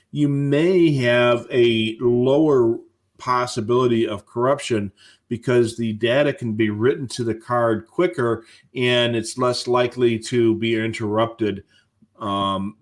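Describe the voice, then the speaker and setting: An American man co-hosting a live show, giving casual, measured technical explanations. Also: mid-range voice.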